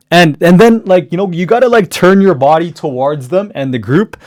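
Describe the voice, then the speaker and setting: A man talks in a deep, loud voice that comes from the diaphragm and sits a little higher than usual for a man. He gives casual, encouraging advice, full of fillers and restarts. The recording is dry and close, with no room sound. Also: dry recording. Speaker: podcast speaker giving networking advice. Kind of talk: motivational talk.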